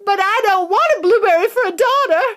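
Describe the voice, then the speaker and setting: A woman reading a storybook aloud and voicing a panicked, screaming mother in a very high shrill voice. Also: expressive, brisk, fairly bright tone. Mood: hysterical. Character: shrieking panicked mother, middle-aged woman.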